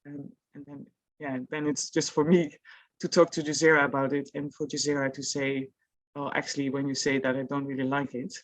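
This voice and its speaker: A woman's voice: very deep voice, flat delivery, fairly quick, rough recording. Dutch woman, thoughtful panel speaker. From Netherlands. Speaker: employer on a panel. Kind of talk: panel discussion.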